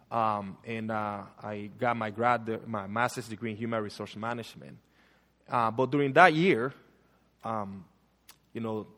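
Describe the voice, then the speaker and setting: A man's voice: medium pitch, long reverb tail. A man in his thirties from Panama with a Panamanian Spanish accent, preaching and praying in church with frequent fillers. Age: 30s. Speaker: guest preacher. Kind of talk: sermon and prayer. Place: church sanctuary.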